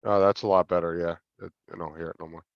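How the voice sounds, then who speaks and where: A man's voice: sits low, flat delivery, fast talker. A man in casual group chat, teasing the others and reading comments aloud. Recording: dull lo-fi mic with faint noise.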